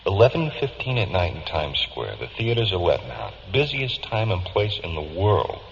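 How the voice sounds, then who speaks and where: Sardonic morgue man, vintage radio drama. Deep gravel, blunt talk, reverberant dull recording. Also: very animated, normal speed, heavily acted. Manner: sardonic, matter-of-fact, colloquial. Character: blunt, hard-boiled morgue man recounting a case. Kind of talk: vintage radio drama acting, clipped report. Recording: dull, hissy recording in echoing hall.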